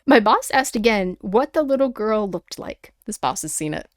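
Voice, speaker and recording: Woman podcast host reading listener stories, chatty and animated, super dry sound, casual asides. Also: mid-range voice, normal speed, no echo. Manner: animated, conversational reactions breaking into the reading. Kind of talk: casual chatty storytelling with read-aloud emails and asides.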